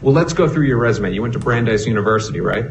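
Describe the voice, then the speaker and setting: A man's voice: medium pitch, expressive, quick pace, gravelly. A man with a Boston accent cross-examining a witness as an attorney, pressing with frequent tag questions. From Northeastern United States. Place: courtroom. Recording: noisy, muffled courtroom recording.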